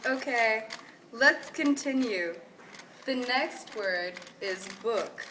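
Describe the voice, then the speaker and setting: Woman as earnest puppet participant running a shock test. Measured, slow amateur delivery, noisy recording. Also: fairly high pitch, lively intonation, pushed and bright, distant mic, heavily acted. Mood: polite, apologetic. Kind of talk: amateur puppet show acting. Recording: clear background noise.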